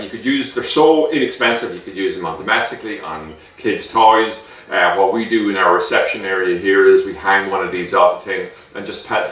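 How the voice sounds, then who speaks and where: A man's voice: deep voice, fairly bright tone. A man giving a casual, chatty product demonstration, explaining step by step like a shopkeeper and often checking in with the listener. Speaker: shop owner presenting a product. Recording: echoey room, distant microphone.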